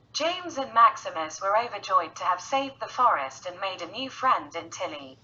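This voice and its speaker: A woman's voice: mid-range voice, bright, forceful tone, highs cut off. A woman narrating a scripted bedtime story for children in a storyteller's style.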